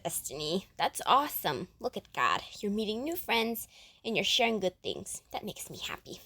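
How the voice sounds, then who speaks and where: A woman's voice: medium pitch, big pitch swings, moderate pace, bright, forceful tone, slightly rough, heavily acted. A woman playing an affectionate, encouraging mother in an amateur audio drama, tender and gushing. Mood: tender, proud.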